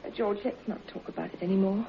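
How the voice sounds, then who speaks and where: An actress playing a weary, evasive plantation wife in a radio play, with a guarded, protesting, theatrical manner. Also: female voice, medium pitch, fairly even intonation, quick pace, gravelly, natural voice. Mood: guarded irritation. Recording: dull, hissy old radio recording.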